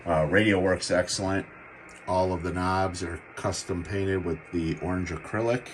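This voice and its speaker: A man's voice: low-pitched, fairly even intonation, moderate pace, slight background noise. A man giving a casual, plain-spoken product demo in the style of a seller's vlog. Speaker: radio seller demonstrating gear. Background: CB radio running in the background.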